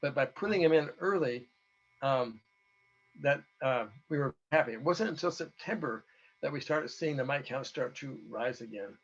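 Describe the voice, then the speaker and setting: A man with a hoarse voice gives an informal, halting technical presentation, explaining data as a researcher. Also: medium pitch, expressive. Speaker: presenter, researcher giving a talk to beekeepers. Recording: dull, lo-fi audio.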